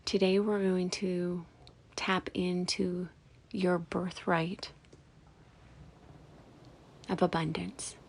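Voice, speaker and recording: Slow, creaky-voiced woman guiding meditation. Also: lowish voice, little pitch movement. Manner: soft, soothing, with long pauses between short phrases. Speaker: guided meditation instructor. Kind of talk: guided meditation. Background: background music.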